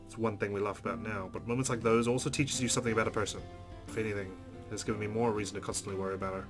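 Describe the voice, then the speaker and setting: Man, let's play streamer, reading story text aloud, rapid pace, amused asides, guitar music behind. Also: lowish voice, fairly even intonation. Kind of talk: reading visual novel narration aloud with commentary. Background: guitar music from the game.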